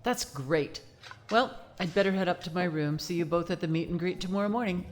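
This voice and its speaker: A woman's voice: lowish voice, a little fast, noisy background, natural voice. A woman playing a gracious, friendly famous actress in audio drama dialogue, polite and cordial.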